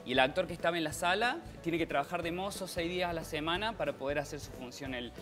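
Passionate Cordobés actor, fairly high voice. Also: male voice, fast, music in the background. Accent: Cordobés Argentine Spanish. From Córdoba, Argentina. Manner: passionate, animated with hand gestures. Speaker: actor and guest. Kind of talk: TV studio interview. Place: TV studio.

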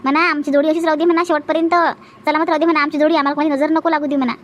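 A woman's voice: sits high, flat delivery, very fast, lightly acted. A woman voicing a bossy, chatty cartoon village aunt with a Vidarbha Marathi accent, nagging and repeating herself. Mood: instructing affectionately. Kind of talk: exaggerated comic cartoon dialogue.

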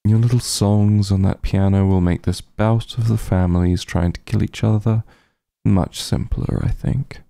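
Guy playing a sly possessive vampire mobster, asmr roleplay, slow gravelly murmur, teasing and protective. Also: fairly low pitch, fairly even intonation, dry recording, clean studio sound. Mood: playful, affectionate. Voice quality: husky, breathy, gravelly. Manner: slow, smooth-tempered, teasing drawl with soft murmurs. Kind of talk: intimate ASMR roleplay acting.

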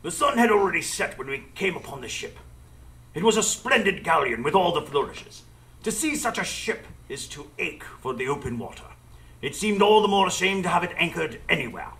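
An actor playing an earnest gentleman narrator, telling a detective tale formally in a live radio drama. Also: on the high side, sing-song, fairly quick, full character voice. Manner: formal storytelling. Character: Doctor Watson, earnest literary gentleman narrator.

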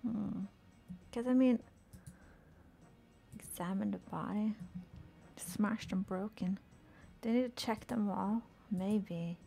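Young woman streamer, low-key gameplay chatter; mellow, fry-edged, soothing, half-whispered asides. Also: slow pace, pauses often, soft background music. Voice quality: soothing soft voice. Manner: murmuring to herself, often dropping to a whisper. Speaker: game streamer. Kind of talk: casual gameplay commentary.

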